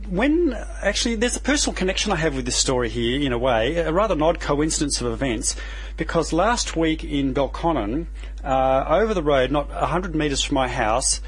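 Husky radio host, chatty. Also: male voice, on the high side, poor audio. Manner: chatty, casual, anecdotal. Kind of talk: community radio interview.

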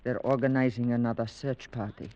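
An actor playing a deferential, subdued household servant in a 1950s radio drama. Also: male voice, mid-range voice, steady tone, brisk, darkish tone, lightly acted. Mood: subdued, anxious. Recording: dull vintage 1950s radio recording.

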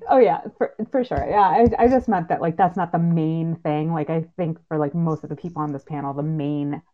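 Female moderator, very low pitch, informal and affirming, thin phone-quality audio. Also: fairly quick. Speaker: panel moderator, podcaster. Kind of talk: casual panel moderation.

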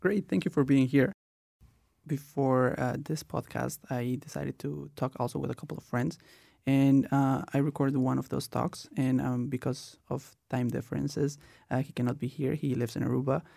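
A man's voice: medium pitch, fairly even intonation, moderate pace, gravelly, dark tone, no echo, clean studio sound. A man in his twenties from Colombia, hosting a student podcast and telling his own story with many "uh" and "um" fillers. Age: 20s. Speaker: podcast host. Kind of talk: casual podcast talk.

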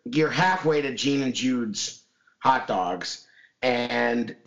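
Scratchy hoarse dude cohosting a fan podcast, rambly and casual. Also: male voice, medium pitch, drawn-out words, a bit bright, lo-fi sound. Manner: rambling, lots of fillers and restarts. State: hoarse, recovering lost voice. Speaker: podcast co-host. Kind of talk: casual chat.

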